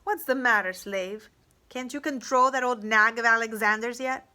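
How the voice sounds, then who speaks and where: A woman puts on an old horsemaster, the villain of an adventure storybook. He gloats and taunts smugly, in a bright, forceful tone. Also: fairly high pitch, normal speed. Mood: smug taunting. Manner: taunting, smug.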